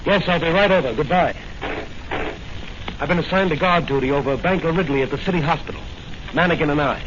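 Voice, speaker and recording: Brisk high-pitched radio serial hero. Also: male voice. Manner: brisk, commanding, melodramatic. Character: confident heroic masked crime fighter, a young policeman. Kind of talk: 1940s radio serial acting. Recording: noisy, muffled old radio serial recording.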